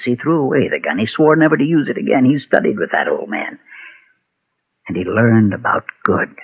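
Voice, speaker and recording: An actor playing a guilt-ridden former preacher and gunfighter, solemn and halting, in a Golden Age radio western. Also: male voice, fairly low pitch, husky, heavily acted. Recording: muffled, thin vintage radio recording.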